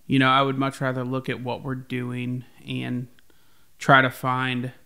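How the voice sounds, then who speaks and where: A man's voice: medium pitch, vocal fry, bright, forceful tone. A young man co-hosting a podcast in casual conversation, earnest and full of "you know" fillers, on a studio mic in a home room. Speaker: podcast co-host, label runner. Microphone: boom-mounted studio mic.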